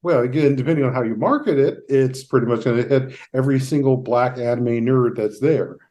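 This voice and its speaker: Mellow-voiced man hosting a casual chat. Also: mid-range voice, a little fast, highs cut off. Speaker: podcast host.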